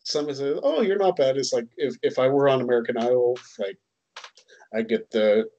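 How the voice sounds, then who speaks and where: A man's voice: medium pitch, normal speed, dark tone, highs cut off. A man in his thirties co-hosting a casual chat on a headset, rambling and self-deprecating with many ums. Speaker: co-host. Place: home room, indoors.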